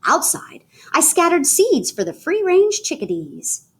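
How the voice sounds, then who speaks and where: A woman reading a children's picture book aloud in an animated, theatrical way with dramatic pauses. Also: extremely high pitch. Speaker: read-aloud storyteller.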